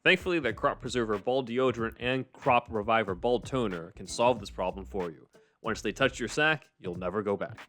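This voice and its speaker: Male podcast host, low pitch, explains things in an informal, conversational register. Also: a little fast, a bit bright, soft background music. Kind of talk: casual discussion and ad reads.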